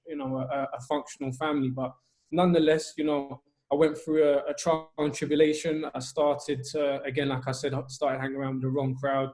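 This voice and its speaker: A man's voice: medium pitch, flat delivery, brisk, a little mellow. A man from Albania, a youth football coach telling his story earnestly and steadily, with many ums.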